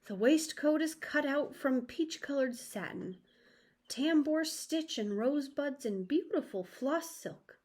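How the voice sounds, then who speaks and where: A woman's voice: high voice, expressive, slow, a little mellow, voice pushed up. A woman voicing a poor, fretful old male tailor in a storybook, lamenting in dramatic old-fashioned words. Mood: worried muttering.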